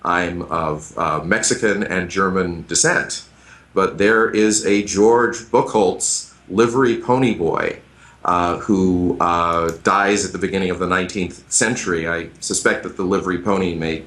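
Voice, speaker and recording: A man's voice: low-pitched, measured pace, fairly bright tone. An American man, a middle-aged history professor, explaining at length in a radio interview with frequent hesitant fillers. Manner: thoughtful, hesitant, many fillers.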